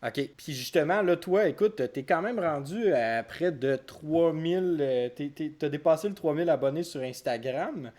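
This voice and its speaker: A man's voice: fairly high pitch, moderate pace, few pauses, darkish tone. A man hosting a casual interview podcast, asking questions with many hesitations and fillers. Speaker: podcast host.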